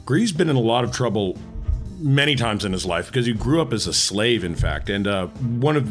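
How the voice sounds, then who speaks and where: A man's voice: medium pitch, very animated, fairly quick, soft background music. A man, a player on a tabletop game podcast, explaining things in casual talk.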